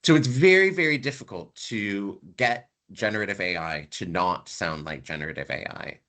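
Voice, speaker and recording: A man's voice: lowish voice, lively intonation, moderate pace, pushed and bright. A man who grew up in Canada, giving a conversational online university lecture and repeating words for emphasis.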